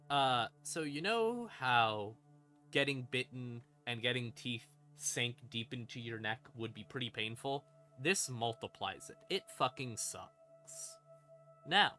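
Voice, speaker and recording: Slow-talking man with a bright, forceful voice. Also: medium pitch. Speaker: streamer. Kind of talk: casual explanatory talk on fantasy game lore. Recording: music behind the voice. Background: creepy ambient music.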